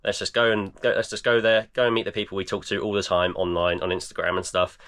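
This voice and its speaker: A man in his thirties, croaky and tired, chatting casually in a vlog with lots of fillers. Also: low-pitched, little pitch movement, quick pace, fairly bright tone. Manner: tired, rambling with many fillers. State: croaky, voice almost gone. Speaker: eBay reseller vlogger. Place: home garage.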